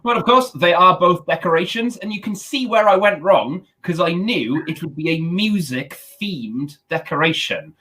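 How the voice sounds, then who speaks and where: A Welsh-speaking man in his thirties with a Welsh accent, a comedian guest giving deadpan joke answers over a video call. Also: sits high, moderate pace, few pauses, husky.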